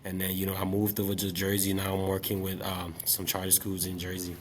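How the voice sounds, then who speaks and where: A man in his late twenties from Liberia, speaking as an interview guest. He talks about teaching in a relaxed, reflective way, with many fillers. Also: low voice, a little fast. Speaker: guest, special education math teacher. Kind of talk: casual interview talk.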